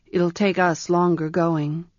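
A woman reading an audiobook, voicing a gentle, patient male wizard with dry, quiet humor. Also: on the low side, level tone, takes it slowly, dry recording. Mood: calm, wry. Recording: dry, slightly dull audiobook recording.

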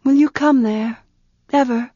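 A woman's voice: high-pitched, steady tone, a little slow, mellow, slightly rough, no echo, pitched above the performer's own voice. A woman voicing an anguished young woman, proud and guilt-ridden, who talks in a tense, pleading way that breaks with grief. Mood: wistful. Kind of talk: audiobook character reading. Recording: dull, muffled sound.

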